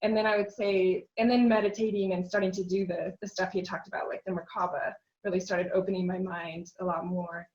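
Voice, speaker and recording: A woman's voice: fairly low pitch, little pitch movement, brisk, a little mellow, lo-fi sound. A woman guest on a podcast telling a long personal story in an earnest, rambling way, with frequent "um" and "you know.". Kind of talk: casual interview chat.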